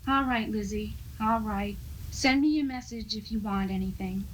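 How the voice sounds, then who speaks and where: An actress playing a gentle, soothing woman visiting a prisoner in a 1970s radio drama. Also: mid-range voice, moderate pace, natural voice, low-quality recording. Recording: 1970s radio recording, faint noise.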